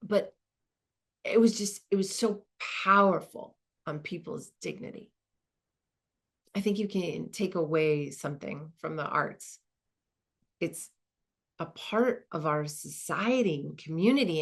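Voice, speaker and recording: Woman doing an older lady prof near retirement, talks slow and thoughtful, kinda halting, earnest stage monologue. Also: low voice, expressive, gravelly, slightly rough, full character voice. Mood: earnest, moved. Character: reflective, earnest 64-year-old woman, professor nearing retirement. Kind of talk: dramatized first-person monologue, conversational.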